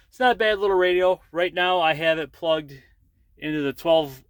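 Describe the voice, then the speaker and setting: A man's voice: on the high side, moderate pace, smooth, no room sound, studio quality. A man talking in a casual, rambling, plain-spoken way, like a truck driver vlogging from his cab. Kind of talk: casual rambling vlog chat.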